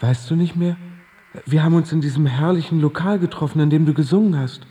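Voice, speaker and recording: An actor playing a lovestruck, laconic death-row killer in a German radio drama, speaking in an understated, wry way. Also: male voice, a little high, quick pace, dark tone, long reverb tail, close to an everyday voice. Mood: wistful. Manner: understated, matter-of-fact, wry.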